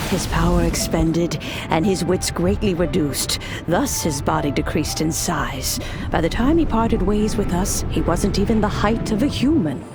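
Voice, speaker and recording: A woman playing a wise ancient woman in a game cutscene, speaking in a measured, solemn, reflective way. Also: on the low side. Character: calm wise ancient woman, old friend of gods. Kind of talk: scripted narration, game cutscene. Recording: music behind the voice. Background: music.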